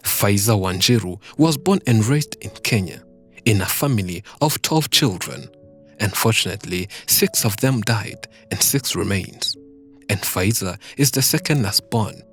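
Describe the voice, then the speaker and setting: A man narrating a documentary from a script in a steady, measured way. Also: on the low side. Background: background music.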